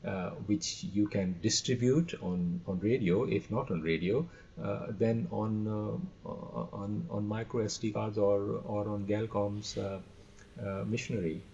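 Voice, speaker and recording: A man sharing anecdotes conversationally in a halting way, repeating words, on dull lo-fi audio. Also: lowish voice, fairly even intonation, creaky, darkish tone, slight background noise. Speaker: podcast guest, radio trainer.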